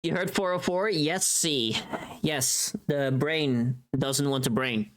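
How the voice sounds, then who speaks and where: A woman chatting casually to viewers on a livestream, talking in a scattered, expressive and self-deprecating way. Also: deep voice, takes it slowly.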